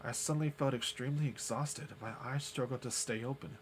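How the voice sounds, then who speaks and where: A man's voice: medium pitch, fairly even intonation, brisk, background noise. A man live-streaming a spooky visual novel, reading its narration aloud dramatically and dropping casual, sweary asides. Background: game music.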